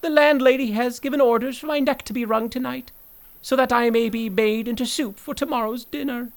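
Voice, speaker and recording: A high, creaky storybook rooster. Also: male voice, steady tone, a little fast. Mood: anxious. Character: excitable high-voiced rooster, eager and anxious. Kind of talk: storybook character voice.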